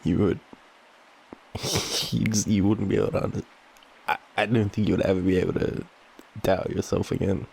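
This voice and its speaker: A man's voice: low voice, fairly even intonation, normal speed, vocal fry, long pauses, darkish tone, a little hiss or hum. A tired man with an Australian accent, speaking sleepily and affectionately in an intimate bedtime boyfriend roleplay. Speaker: audio roleplay performer playing a boyfriend.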